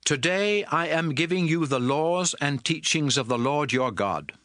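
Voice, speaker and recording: A man's voice: a little high, expressive, unhurried, a bit bright, no room sound, studio quality. A man playing a commanding prophet and lawgiver in a dramatized scripture reading, solemn, emphatic and declamatory. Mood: instructing, solemn. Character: Moses, commanding male prophet and lawgiver addressing his people.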